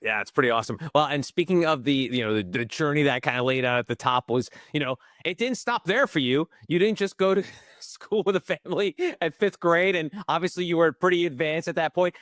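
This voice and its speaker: A man's voice: on the high side, fairly quick, fairly bright tone, dry recording, studio quality. A man hosting a conversational interview, speaking in a casual, colloquial way. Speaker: podcast host interviewing a guest.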